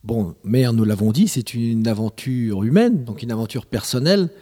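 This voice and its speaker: Guy interviewing, measured and formal, mellow tone, echoey room. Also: medium pitch, animated.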